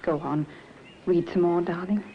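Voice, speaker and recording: A woman plays a patient, fond wife in a fairly low voice. She is gentle and indulgent in vintage radio style, on a muffled recording with faint noise. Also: steady tone, moderate pace, close to an everyday voice. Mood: fond, content. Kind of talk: 1950s radio drama acting.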